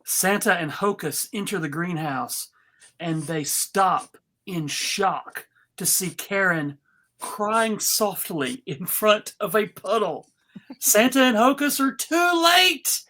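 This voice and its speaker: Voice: mid-range voice, expressive, slow pace, pushed and bright. A performer reading out scene directions from a script, with casual asides at a table read. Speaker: reader of stage directions.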